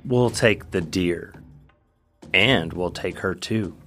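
A gruff, menacing scavenger voiced by a man barks orders with a bright, hard edge. The voice is cold and bossy, and faint music plays underneath. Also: low-pitched, slow pace, full character voice. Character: rough male woodland scavenger, threatening. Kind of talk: audio drama acting.

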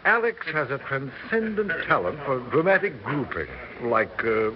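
An actor in a 1960s radio drama playing an effusive, florid, middle-aged performer who flatters to get work. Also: male voice, mid-range voice, moderate pace, lightly acted. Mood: gushing, ingratiating. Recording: dull vintage radio, party chatter behind.

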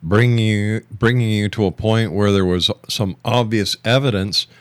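A man's voice: lowish voice, fairly even intonation, moderate pace, clean tone, dry recording. A man hosting a radio interview, putting probing questions to a guest in a conversational way. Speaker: radio show host, interviewer.

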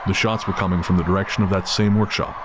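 A man delivers scripted story narration in a very deep register. He serves as the narrator of an audio drama. Urban ambience runs clearly behind his voice. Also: little pitch movement, quick pace. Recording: clear background noise of city ambience.

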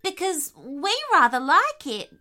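A woman's voice: high voice, big pitch swings, takes it slowly, sharp tone, voice pushed up, exaggerated voice. A woman voicing a kindly, brave and reassuring echidna in a children's story. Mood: hopeful. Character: echidna, kindly brave protective small animal.

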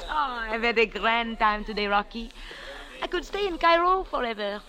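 An actress playing a guarded, mysterious wife in a 1940s radio drama, with careful, slightly formal phrasing. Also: female voice, a little high, moderate pace, pushed and bright. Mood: delighted, wistful. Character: mysterious, guarded young foreign wife seeking her husband. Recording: vintage radio drama recording.

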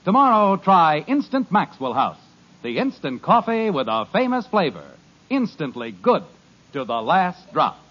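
High, lively 1950s radio announcer. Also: male voice, unhurried, noisy background. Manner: polished, emphatic salesman delivery. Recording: dull lo-fi 1950s radio recording.

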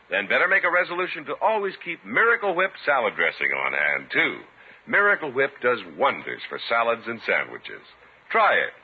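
A man's voice: on the high side, slightly rough. A man working as a radio announcer, reading 1950s advertising in a polished, emphatic sales delivery. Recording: muffled old radio recording.

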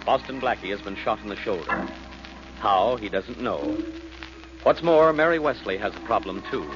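A man's voice: fairly low pitch, expressive, moderate pace. A man with an American accent giving scripted narration as a 1940s radio announcer. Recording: muffled old radio recording. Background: prominent music.

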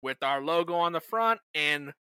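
A man with a very bright, sharp-edged voice co-hosts a podcast. He banters casually, joking and tossing out mock insults, in a dry recording with hardly any room sound. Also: fairly high pitch, expressive, moderate pace, smooth, no room sound. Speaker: podcast co-host.

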